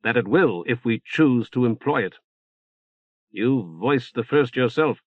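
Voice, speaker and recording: A man reading an audiobook, playing a calm, formal and articulate male leader. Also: mid-range voice, a little slow, no room sound, narrow, tinny audio. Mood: reasoned, firm.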